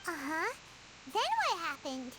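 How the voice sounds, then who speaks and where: Game fairy sidekick: extremely high childlike voice, swooping lively, inquisitive and chirpy. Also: female voice, measured pace, a little hiss or hum, exaggerated voice, poor audio. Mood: curious. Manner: speaks of self in third person. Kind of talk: video game voice acting.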